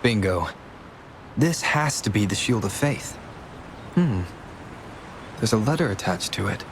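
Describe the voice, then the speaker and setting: A man's voice: fairly low pitch, lively intonation, a little slow, a little hiss or hum. Composed young male adventurer. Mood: calm, focused. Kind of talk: game dialogue acting.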